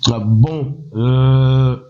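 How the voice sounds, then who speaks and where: A man in his thirties from Côte d'Ivoire, a rapper speaking in a measured, self-assured way, often pausing on "voilà" and asking himself rhetorical questions. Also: medium pitch, steady tone, a little hiss or hum. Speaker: rapper, interview guest. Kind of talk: casual interview talk. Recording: slightly muffled, lo-fi sound.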